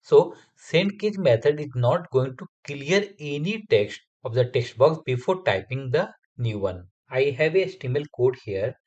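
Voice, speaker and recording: A man's voice: medium pitch, lively intonation, moderate pace, hardly any pauses, darkish tone, dull audio. A man, a Hindi speaker, explains a coding tutorial step by step over a screen recording. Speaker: software testing instructor.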